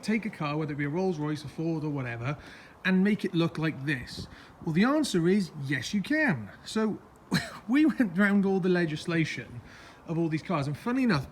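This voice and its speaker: A hoarse man, chatting casually in a rambling, self-mocking way as he walks through his car for a vlog. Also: fairly high pitch. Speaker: car vlogger.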